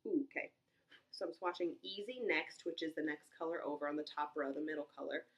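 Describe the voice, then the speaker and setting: A woman's voice: deep voice, a little fast. A young woman with a congested, stuffy voice, chatting casually as she swatches and reviews makeup, thinking aloud with many ums and small exclamations. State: congested from a cold. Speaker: beauty vlogger.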